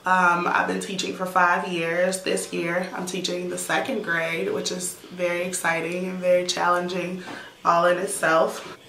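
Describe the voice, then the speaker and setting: A woman in her thirties answering viewer questions in a casual vlog, chatty and relaxed with many "um"s and "like"s. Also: fairly low pitch, measured pace, vocal fry, echoey room, slight background noise, low-quality recording. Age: 30s. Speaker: YouTuber, teacher. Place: indoor room at home.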